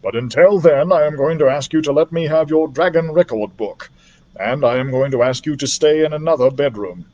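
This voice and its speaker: A man voicing a grave, kindly doctor who teaches about dragons in a storybook, speaking in a measured way. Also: a little high, a little mellow, highs cut off, natural voice. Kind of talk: audiobook character voice.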